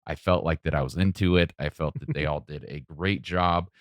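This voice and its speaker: A man's voice: extremely low pitch, moderate pace, no room sound. A man co-hosting a podcast, steering the discussion and putting questions to the others. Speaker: podcast co-host.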